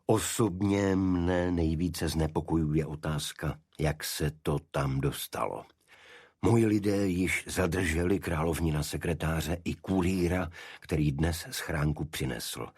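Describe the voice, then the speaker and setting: A grave older gentleman of high office, played by a male narrator, with a very low, husky voice. He speaks formally and with measured authority, cold but worried, as in a detective audiobook. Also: no echo, studio quality, heavily acted. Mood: grave, troubled. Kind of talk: audiobook character dialogue.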